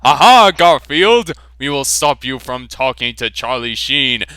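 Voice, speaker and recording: A man putting on gloating, villainous enemy fighter jets in an amateur fan fiction reading, with loud, raised taunts. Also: mid-range voice, sing-song, measured pace, clear, resonant tone, dry recording, studio quality.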